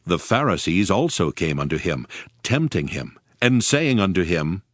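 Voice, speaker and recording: A man's voice: low voice, big pitch swings, normal speed, dry recording, studio quality. A man narrating scripture from a script as the narrator of a dramatized reading.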